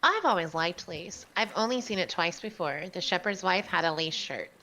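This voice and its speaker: A woman's voice: on the low side, expressive, moderate pace, pushed and bright. A woman voicing a defiant, sassy human captive in a visual novel, unimpressed and teasing. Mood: sardonic, teasing.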